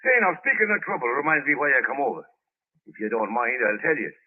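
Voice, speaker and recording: On a thin, band-limited old radio recording, a garrulous police sergeant from next door. He is folksy and nosy, swinging widely in pitch as he talks, and stubborn about having his say in classic radio drama fashion. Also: sing-song, quick pace, phone-quality sound. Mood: folksy, confiding. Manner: folksy, garrulous, colloquial phrasing. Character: genial, talkative, nosy Irish-American police sergeant neighbor turned stubborn. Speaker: radio actor. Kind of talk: 1930s radio drama acting.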